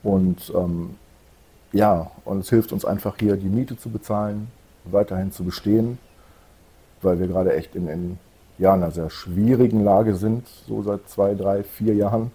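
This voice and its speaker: Middle-aged man, deep-ish husky voice, dark tone, casual interview guest. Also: fairly even intonation, a little slow. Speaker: guest, community project organiser. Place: indoor event room with collection displays.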